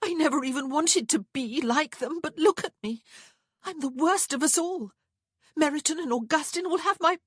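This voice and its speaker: Woman playing young gentlewoman, anxious, proper, urgent, swooping high pitch, audiobook dialogue. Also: animated, normal speed, slightly rough, no echo, clean studio sound. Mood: despairing. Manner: breathless, emphatic, urgent.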